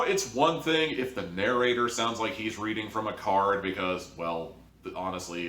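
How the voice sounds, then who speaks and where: A man's voice: sits low, pushed and bright, a little hiss or hum. A man hosting an opinionated review, speaking in an exasperated, emphatic way. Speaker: host, audio drama reviewer. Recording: echoey room, distant from mic.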